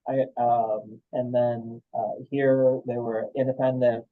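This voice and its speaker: A man's voice: mid-range voice, level tone, slow pace. Man with a faint Midwestern accent, tinny line. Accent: American, slightly Midwestern. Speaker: panel guest. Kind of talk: casual interview talk.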